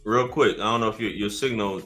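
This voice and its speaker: An American man hosting a casual live talk show, speaking in an animated, slangy way with punchy rhetorical questions. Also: fairly low pitch, fairly quick, faint music behind.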